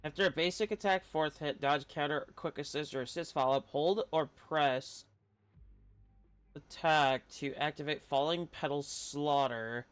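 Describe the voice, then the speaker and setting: A man's voice: fairly high pitch, unhurried, clean tone. A man giving casual gameplay commentary as a streamer. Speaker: game streamer.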